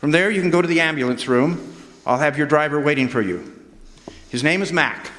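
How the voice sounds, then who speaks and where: An actor playing an anxious, earnest hospital administrator in a radio drama, halting and stammering as he speaks. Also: a little high, lively intonation, fairly bright tone, a little hiss or hum. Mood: earnest, relieved. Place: live stage performance in a hall. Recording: echoey live hall.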